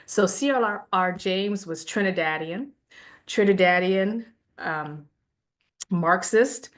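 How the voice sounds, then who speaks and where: A woman lecturing a class in a casual, conversational way, animated and laughing at times. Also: medium pitch, slow pace.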